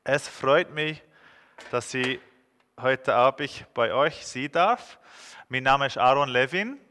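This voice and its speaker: A man's voice: mid-range voice, slow, pushed and bright, a little hiss or hum. A man in his thirties with an English accent, preaching a friendly, joking sermon with frequent hesitations. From England. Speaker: guest preacher. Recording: long hall reverb.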